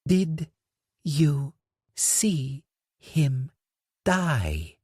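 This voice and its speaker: A man voicing a menacing, sarcastic male crime boss who questions slowly and pointedly. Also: on the high side, huge pitch swings, a little mellow, slightly rough, dry recording, clean studio sound, voice pushed up, exaggerated voice. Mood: sneering, cold. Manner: slow, pointed, mocking questioning.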